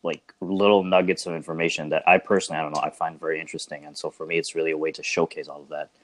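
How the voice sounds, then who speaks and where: Fast-talking young man with a deep voice. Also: no room sound. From Bangladesh, raised in Canada. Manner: relaxed, thoughtful, many fillers. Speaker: podcast guest. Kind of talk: casual podcast chat.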